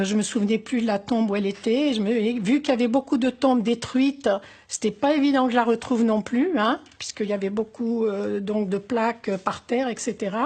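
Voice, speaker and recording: Hesitant older woman, slightly high voice. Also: darkish tone. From Algeria. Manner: hesitant, many pauses and fillers; reflective, wistful. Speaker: interviewee. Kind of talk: oral history interview.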